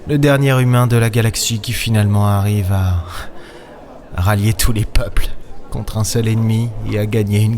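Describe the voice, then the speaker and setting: A man playing a weary, sardonic space captain in an audio drama, speaking in a measured, wry storytelling way. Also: lowish voice, background noise, natural voice. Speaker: lead character and narrator. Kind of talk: audio drama acting and first-person narration. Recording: music behind the voice. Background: music.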